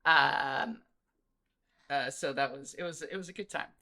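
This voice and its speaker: Male podcast host, bright forceful voice, loose animated rambling chat, salty language. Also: on the high side, measured pace, creaky. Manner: rambling, animated storyteller, swears freely. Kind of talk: casual chat.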